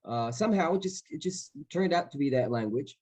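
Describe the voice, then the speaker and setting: A man's voice: a little high, lively intonation, brisk, darkish tone, slightly rough. Man answering, searching for words. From Israel. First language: Hebrew. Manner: searching for words, frequent restarts. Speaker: actor on a festival panel.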